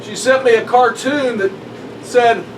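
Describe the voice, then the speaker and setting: Slow-talking pastor with a high voice. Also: male voice, steady tone. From southeast Missouri. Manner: unhurried, friendly storytelling. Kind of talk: casual conversation. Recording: distant mic with faint background noise.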